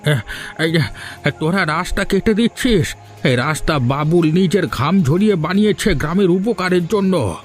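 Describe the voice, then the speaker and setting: A man's voice: sits high, animated, soft background music. A man voicing a righteous, frail old village uncle in a cartoon, admonishing in manner. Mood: scolding. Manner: slow, admonishing. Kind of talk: cartoon voice acting.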